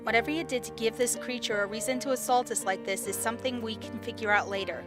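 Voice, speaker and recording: A woman's voice: on the high side, steady tone, fast talker, natural voice. A woman playing a blunt, decisive young female leader in an audio drama. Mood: grim. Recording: music clearly behind.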